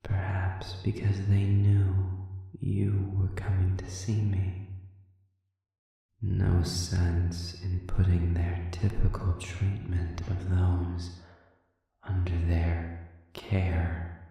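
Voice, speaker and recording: A performer voicing a sadistic male demon prisoner in an audio roleplay, speaking formally and sardonically with a taunting, manipulative silkiness that often sinks toward a breathy whisper. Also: low-pitched, little pitch movement, extremely slow, warm tone, faint music behind, full character voice. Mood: silky, sardonic. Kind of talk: slow audio roleplay monologue addressed to listener. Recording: cavernous echoing reverb, distant and muffled.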